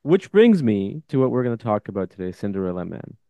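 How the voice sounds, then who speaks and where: A man's voice: on the low side, big pitch swings, quick pace, creaky, mellow, dry recording. A man hosting a podcast, chatting casually in an informal, effusive, loosely phrased way. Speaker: podcast host. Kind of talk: casual interview chat.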